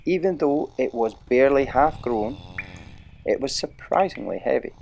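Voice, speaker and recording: A man narrating a children's adventure story in a measured, dramatic way, dropping to a hush at tense moments. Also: mid-range voice, animated, gravelly, slight background noise. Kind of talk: audiobook-style storybook narration.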